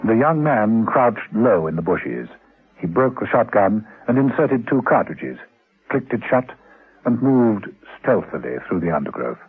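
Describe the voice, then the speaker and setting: A man playing the wry narrator of a spy radio serial, telling it in a measured, theatrical, deliberate way. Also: on the low side, sing-song, slightly rough, narrow, tinny audio, faint music behind, full character voice. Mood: suspenseful, wry. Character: wry, suspenseful storyteller of a spy thriller. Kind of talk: dramatic scripted radio narration. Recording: band-limited old 1970s radio recording.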